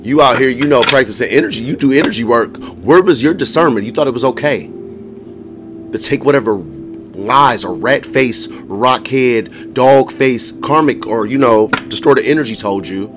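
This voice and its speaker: A hoarse man giving a casual tarot reading to viewers, blunt and profane, often laughing as he talks. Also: medium pitch, animated, normal speed. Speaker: tarot reader. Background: music.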